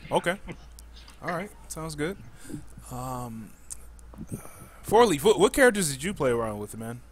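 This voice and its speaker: A man's voice: a little high, sing-song, a little slow. A man hosting a gaming podcast, casually putting questions to his guests. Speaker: podcast host.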